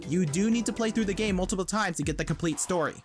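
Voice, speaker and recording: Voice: medium pitch, fast. A video essay host reading scripted comedic narration in a wry, irreverent manner. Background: background music.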